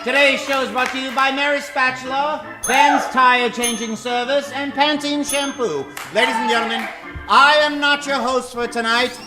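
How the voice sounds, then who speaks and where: A man's voice: extremely high pitch, steady tone, moderate pace. A man working as an opening announcer for a live mock old-time radio show, declamatory and showy. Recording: roomy echo, music behind the voice. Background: music.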